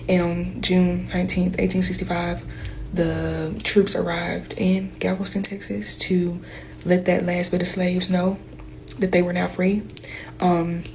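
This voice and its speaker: A woman from the United States explains things in a casual way. Her voice is slightly low and very even in pitch, with faint background ambience. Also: slight background noise, low-quality recording.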